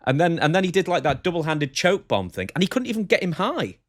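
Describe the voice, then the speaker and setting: A man's voice: on the high side, sing-song, fast, no room sound, studio quality. A man chatting casually as the co-host of a podcast. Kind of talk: casual chat.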